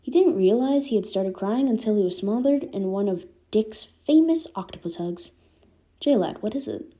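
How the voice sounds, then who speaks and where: A woman's voice: mid-range voice, animated, normal speed, mellow. A woman reading fan fiction aloud as scripted narration. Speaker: podfic reader.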